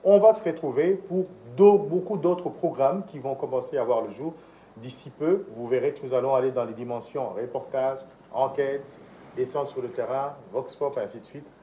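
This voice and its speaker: A man's voice: medium pitch, moderate pace, mellow, a little hiss or hum. A man with a Congolese French accent hosting a conversational television interview on a studio set. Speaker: TV interview host.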